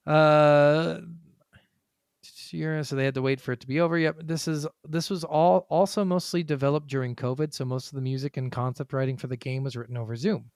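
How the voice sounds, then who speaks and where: A man with a fairly high, very clear voice, talking as a podcast co-host. He works through notes in a casual, conversational way and wanders into asides. The sound is dry and close. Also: steady tone, normal speed, darkish tone, no echo.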